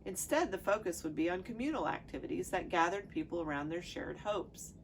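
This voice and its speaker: A woman with a notably low, gravelly voice reads aloud as a pastor sharing a devotional text. Her phrasing is measured and deliberate, and there is some background noise in the recording. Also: expressive, rough recording. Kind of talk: reading aloud from a devotional book.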